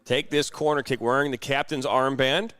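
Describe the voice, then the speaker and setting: A man's voice: medium pitch, fairly even intonation, moderate pace, a bit bright, clear, resonant tone, dry recording. A man doing live play-by-play commentary on a youth soccer match, chatty and joking between calls. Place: outdoor soccer field broadcast.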